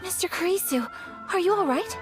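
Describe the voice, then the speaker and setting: An actress playing a gracious, refined young noblewoman in a video game, speaking formally, gently and very politely. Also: very high voice, lively intonation, a little fast, music in the background. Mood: mild concern. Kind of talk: scripted video game dialogue.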